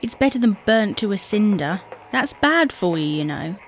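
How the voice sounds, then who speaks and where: A woman's voice: medium pitch, sing-song, normal speed, faint music behind, close to an everyday voice. A British woman playing an exasperated, matter-of-fact wife bickering over household matters in an audio sitcom. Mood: nagging. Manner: nagging, matter-of-fact, tag questions. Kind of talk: audio sitcom acting, domestic dialogue.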